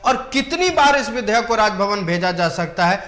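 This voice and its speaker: A man's voice: high-pitched, lively intonation, normal speed, bright, forceful tone. A man anchoring the news, putting emphatic, rhetorical questions to a reporter in a reverberant recording. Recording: echoey, reverberant sound.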